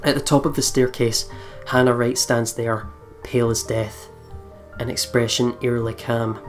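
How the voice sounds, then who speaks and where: A young adult man reads story text from a game in a dramatic storytelling manner. His pitch is average, with faint music playing underneath. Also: little pitch movement, normal speed. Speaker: let's play host.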